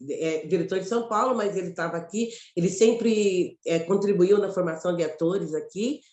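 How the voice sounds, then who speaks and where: A woman from Mato Grosso with a Mato Grosso Brazilian accent, an actress telling her story in an interview, reflective and halting, often restarting phrases. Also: on the low side, a little mellow, roomy sound. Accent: Mato Grosso Brazilian Portuguese. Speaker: actress and guest.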